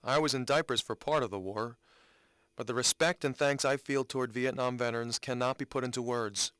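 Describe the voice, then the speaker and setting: Male reader as a solemn, earnest man; smooth mid-range voice, dry close recording, deliberate dramatic reading. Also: mid-range voice, steady tone, a bit bright, no room sound, lightly acted. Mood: solemn gratitude. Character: earnest man honoring veterans, solemn and aggrieved. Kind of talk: dramatic reading of written commentary.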